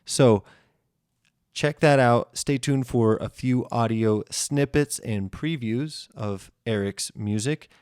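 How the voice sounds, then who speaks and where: A man's voice: mid-range voice, slow pace, darkish tone, clear, resonant tone, no room sound, studio quality. A man hosting a podcast, introducing guests and asking questions. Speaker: podcast host.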